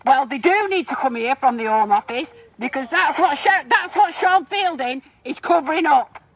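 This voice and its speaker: A woman's voice: sits high, animated, moderate pace. A woman gives a confrontational, accusatory protest speech out on a street, addressing bystanders through a megaphone. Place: outdoors on a residential street.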